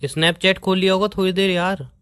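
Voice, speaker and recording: A man's voice: sits high, level tone, moderate pace, fairly bright tone, clean tone, no echo. A young man playing an evasive, exasperated boyfriend, making hesitant excuses and grumbling protests in a staged phone argument. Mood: exasperated, protesting.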